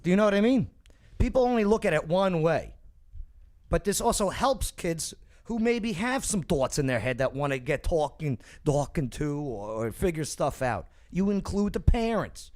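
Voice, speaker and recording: An emphatic male talk show host with a fairly high, lively voice that rises and falls a lot. He drives his points hard, checking in with his panel as he goes. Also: moderate pace. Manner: emphatic, repeats "okay?" and "right?".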